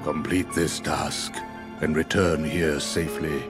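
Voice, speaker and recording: Stern aged wizard master for a video game: very deep, unhurried, solemn delivery. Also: male voice, little pitch movement, music in the background. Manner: solemn, deliberate, formal. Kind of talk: scripted video game voice acting.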